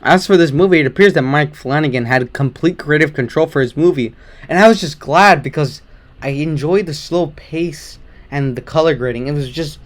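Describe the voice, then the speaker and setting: A man with a slightly hoarse voice and occasional voice cracks, giving a chatty, rambling movie review at home. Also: fairly high pitch, normal speed. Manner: chatty, rambling, enthusiastic opinions. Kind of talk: casual non-spoiler movie review.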